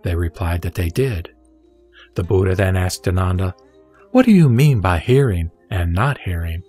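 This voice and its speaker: Low-voiced man narrating scripture over music. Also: lively intonation, moderate pace, dark tone. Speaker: narrator and reciter.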